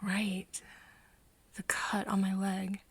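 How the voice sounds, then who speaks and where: A woman playing a hesitant, caring young human friend in a soft ASMR roleplay, breathy and often dropping into a whisper, speaking haltingly. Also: mid-range voice, completely flat delivery. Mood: tentative, curious. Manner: halting, hesitant, with nervous giggles. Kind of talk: soft ASMR roleplay acting addressed to the listener.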